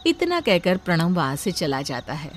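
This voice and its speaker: A woman's voice: fairly low pitch, huge pitch swings, moderate pace, a little hiss or hum. A woman narrating a family moral story and voicing its characters. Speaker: narrator and voice actor.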